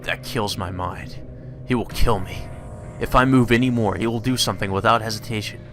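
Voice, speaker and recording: A man reading a visual novel aloud as a let's player, expressive and given to profane asides. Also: fairly low pitch, fairly quick. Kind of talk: gaming commentary mixed with read-aloud narration. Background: game music.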